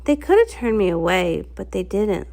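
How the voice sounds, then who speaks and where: A woman's voice: medium pitch, moderate pace. A woman narrating a comic read-along in the first person, with a theatrical, dramatic storytelling style. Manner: theatrical, expressive.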